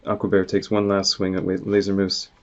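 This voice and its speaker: A man's voice: low-pitched, monotone, a little fast. A man reading a comic story aloud in a casual way, acting out the dialogue and noises. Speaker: author reading their own comic aloud. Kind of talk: animated storybook read-aloud with sound effects. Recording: dull, muffled lo-fi sound.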